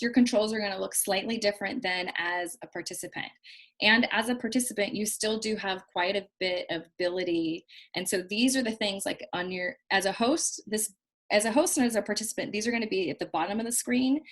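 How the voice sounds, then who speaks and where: A woman with a loud voice, giving a casual video-call lesson as host, chatty and full of restarts and fillers. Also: medium pitch. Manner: chatty, repeats herself, many fillers and restarts. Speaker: trainer hosting a video call. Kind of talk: casual instructional talk. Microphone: built-in computer mic on a video call.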